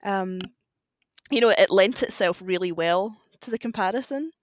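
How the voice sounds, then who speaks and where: A woman's voice: mid-range voice, normal speed, no room sound, muffled recording. A woman guest on a podcast talking casually and chattily about her own writing, explaining as she goes. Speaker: podcast guest, fan fiction writer.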